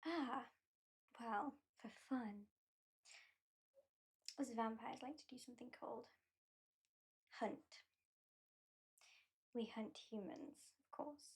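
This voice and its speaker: Woman playing a haughty, breathy British vampire lady. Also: on the high side, heavily acted. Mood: teasing, haughty. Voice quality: soft and breathy. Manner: slow, teasing, condescending, often drops to a whisper. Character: haughty female vampire who claims the listener as a pet. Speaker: ASMR roleplay performer. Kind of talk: ASMR roleplay.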